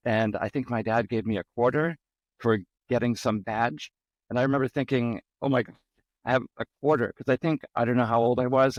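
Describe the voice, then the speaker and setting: A man's voice: medium pitch, no echo, muffled recording. A middle-aged man from the Boston area, a podcast guest telling personal stories in a casual, rambling way with many ums. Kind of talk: casual interview storytelling.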